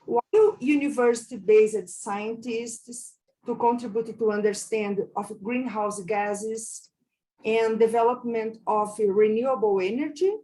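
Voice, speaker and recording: A woman from Brazil with a Brazilian Portuguese accent, whose first language is Portuguese, giving a conference lecture in English, slow and careful with many hesitations and repeated words. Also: a little high, steady tone, mellow, poor audio. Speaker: university lecturer.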